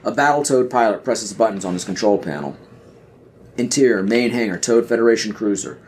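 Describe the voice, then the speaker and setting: A man's voice: lowish voice, animated, brisk, a little mellow, a little hiss or hum. A man narrating an audio play in a scripted, screenplay-reading style.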